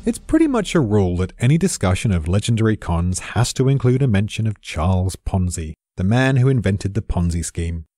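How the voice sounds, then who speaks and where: A man's voice: fairly low pitch, very animated, fairly quick, few pauses, dark tone, no echo, clean studio sound. A British man giving scripted explainer narration, in a wry, erudite storyteller's manner. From Britain. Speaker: expert narrator.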